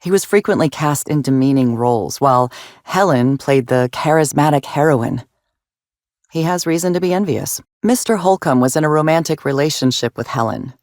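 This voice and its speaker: A woman's voice: low-pitched, lively intonation, moderate pace, a little mellow, no echo, clean studio sound. A woman playing a weary, dutiful constable in a video game, speaking with formal, deferential courtesy. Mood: earnest, formal. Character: weary, dutiful female colonial constable, polite and anxious. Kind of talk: video game dialogue acting.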